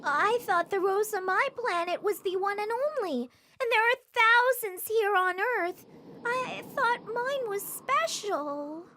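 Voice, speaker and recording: A woman's voice: very high-pitched, animated, takes it slowly, sharp tone, slight background noise, full character voice. A woman voicing an innocent, curious, earnest little prince, a small boy in a children's cartoon. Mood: sad, disappointed. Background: music.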